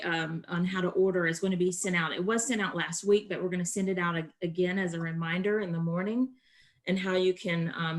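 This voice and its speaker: Lower-voiced woman giving a halting work update. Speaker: association staffer giving updates on a provider call. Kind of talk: informal work briefing.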